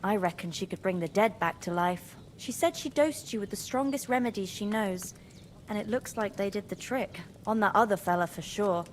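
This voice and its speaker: A woman's voice: mid-range voice, moderate pace, a little hiss or hum, lightly acted. An actress playing a cheerful young village woman in a game, chatty and teasing with rustic speech. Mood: teasing, reassuring.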